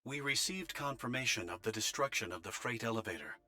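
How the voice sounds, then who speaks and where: A man's voice: on the low side, expressive, brisk, bright, forceful tone. A man voicing a formal, courteous official reporting to soldiers in an anime-style game. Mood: formal, grateful.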